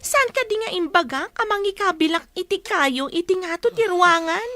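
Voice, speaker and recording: An actress with a Filipino accent playing a questioning, insistent young daughter in a radio drama. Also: female voice, very high voice, lively intonation, normal speed, bright, forceful tone, soft background music, exaggerated voice.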